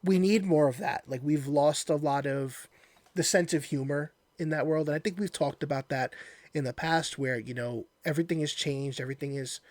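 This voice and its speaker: Slightly high-voiced man, dry close sound. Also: moderate pace, a little mellow, dry recording. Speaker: podcast host. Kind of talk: casual interview chat.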